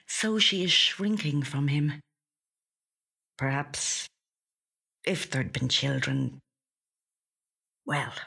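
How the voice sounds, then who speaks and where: Softly husky literary narrator. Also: medium pitch. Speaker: actor reading a short story.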